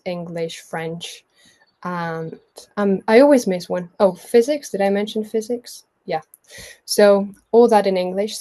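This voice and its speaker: A woman's voice: medium pitch, mellow. A woman in her early twenties from Spain, with a Spanish accent, telling her own life story informally as a guest, chatty and self-effacing. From Mallorca, Spain. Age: early 20s. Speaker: guest sharing a personal testimony.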